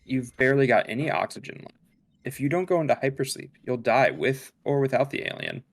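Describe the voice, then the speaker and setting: A man's voice: mid-range voice, big pitch swings, a little fast. Cold, condescending android, acted by a man. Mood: calm, persuasive. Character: cold, calculating male android, damaged and condescending. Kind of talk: dramatic table read of a film script.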